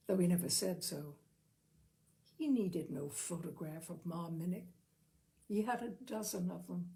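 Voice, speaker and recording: A woman's voice: mid-range voice, creaky, warm tone. A woman narrator reading a literary short story expressively and acting out the characters' dialogue.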